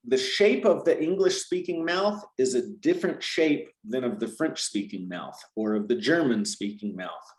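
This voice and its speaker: A man in his conversational stride explains language learning as a teacher, tagging his points with quick checks for agreement. His medium-pitched voice bounces around a roomy, echoing space. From Georgia, US South. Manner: animated, explains with repeated "right?" tags. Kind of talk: conversational interview.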